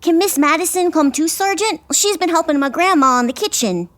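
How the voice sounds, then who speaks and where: A woman's voice: very high-pitched, lively intonation, a little fast, fairly bright tone. A woman playing an eager young boy of eight in a comedic radio adventure. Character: upset, eager eight-year-old frontier boy. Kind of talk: comedic old-time radio acting.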